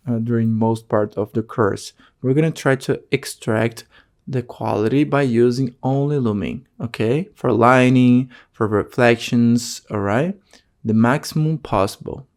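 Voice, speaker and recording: A man's voice: medium pitch, warm tone. Man teaching an online course. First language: Portuguese. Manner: keeps checking in with "okay?"; non-native phrasing, frequent fillers. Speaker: course instructor.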